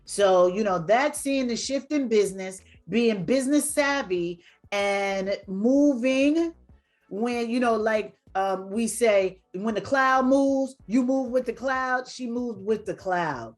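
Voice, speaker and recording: A woman's voice: a little high, takes it slowly, faint music behind. A woman hosting a show on female entrepreneurship, speaking in an upbeat, emphatic, motivational way. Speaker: podcast host. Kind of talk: hosted interview show.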